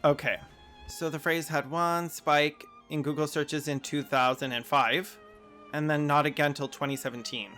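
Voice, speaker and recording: A man's voice: a little high, fairly even intonation, moderate pace, bright, forceful tone, faint music behind. Sarcastic man hosting a video essay. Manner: sarcastic, mocking asides.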